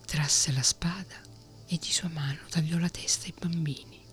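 A woman whispering a fairy tale aloud as a soft ASMR reading. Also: low-pitched, steady tone, normal speed, faint music behind. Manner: whispering throughout. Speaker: storyteller.

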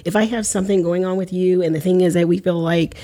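A woman hosting a casual interview, her voice set somewhat low with a mellow, relaxed tone. She talks in loose, reflective sentences that double back and restart as she thinks aloud. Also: fast talker. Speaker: podcast host.

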